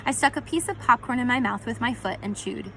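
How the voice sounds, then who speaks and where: A woman narrates a storybook aloud in the first person with expression. Her voice is fairly high and very bright, and there is a faint noise in the recording. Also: expressive, a little fast, low-quality recording.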